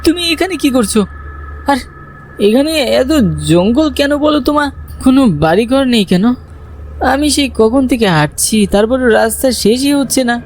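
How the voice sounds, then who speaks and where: A man's voice: very high-pitched, lively intonation, normal speed, slight background noise. A man playing a frightened young villager in a horror story, telling it in suspenseful first person and stammering when he addresses others. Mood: frightened, pleading.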